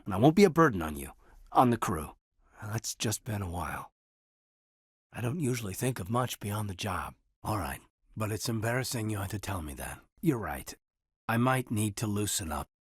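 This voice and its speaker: A male actor playing an earnest, guarded military officer in a science fiction video game, slightly husky and given to hesitant phrasing. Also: on the low side, no echo, clean studio sound. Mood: guarded, resigned. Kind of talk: naturalistic dramatic game dialogue.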